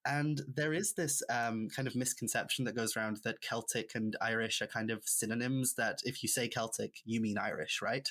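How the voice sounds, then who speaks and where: A woman's voice: very deep voice, fairly even intonation, moderate pace, few pauses, a bit bright. Welsh woman, reflective storyteller. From Wales. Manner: reflective, storytelling, with light laughs. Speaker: guest author. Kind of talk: casual podcast conversation.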